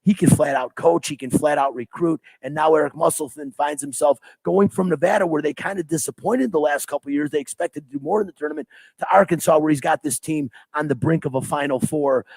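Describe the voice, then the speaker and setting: A hoarse man hosting a sports talk show, talking casually and ranting with strong opinions. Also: fairly high pitch, fairly quick, a little mellow, dry recording, low-quality recording.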